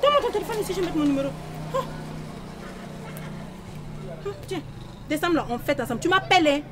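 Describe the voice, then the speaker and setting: A woman acting in a comedy sketch, loud and scolding. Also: very high-pitched, very animated, quick pace. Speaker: comic actress. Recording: noticeable background hiss.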